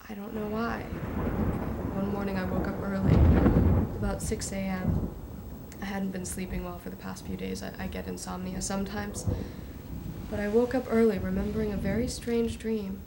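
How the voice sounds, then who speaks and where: A woman's voice: medium pitch, unhurried, creaky, pauses often, heavy background noise. An actress playing an uneasy young woman who gives a hesitant, conversational account in a film drama. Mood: troubled. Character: uneasy young woman recounting family secrets. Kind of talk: naturalistic film acting, interview testimony.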